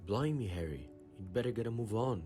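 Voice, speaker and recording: A deep young sports captain, played by a man, with faint music behind. He is earnest and excitable, a sports-obsessed teenager in an audio drama. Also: a little fast, lightly acted. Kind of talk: fan audio drama acting.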